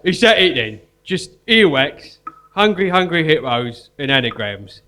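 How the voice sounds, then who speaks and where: A man's voice: on the high side, big pitch swings, unhurried, pushed and bright, heavily acted. A man playing an anxious, indignant prison accomplice in a live stage comedy, fretful and complaining. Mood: anxious, despairing. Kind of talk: live comedy theatre acting. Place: live performance in a museum. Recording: noisy live stage recording.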